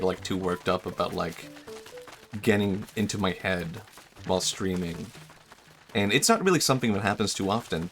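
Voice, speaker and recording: A man's voice: lowish voice, a bit bright. A young man streaming a game, chatting casually and thinking aloud, often trailing off and restarting his sentences. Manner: rambling and self-reflective, trails off, many fillers and restarts. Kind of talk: casual chat while gaming.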